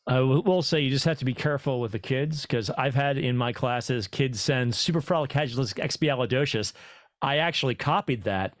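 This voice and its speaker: A man's voice: medium pitch, fairly quick, dry recording. Man, chatty instructor, stumbles and laughs, dry close sound. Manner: chatty, stumbles over words, laughs easily. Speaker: club instructor. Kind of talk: casual group video-call discussion.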